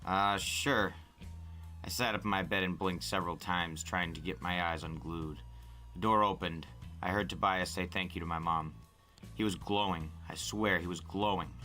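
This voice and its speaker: A man's voice: low-pitched, fairly even intonation, moderate pace, bright, forceful tone, faint music behind. A man reading a novel aloud in the first person as a storyteller.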